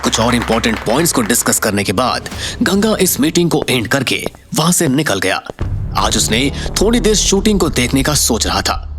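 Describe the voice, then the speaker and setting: A man delivers dramatic scripted narration for an audio story. His mid-pitched voice moves in a lively way, with faint music underneath. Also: normal speed.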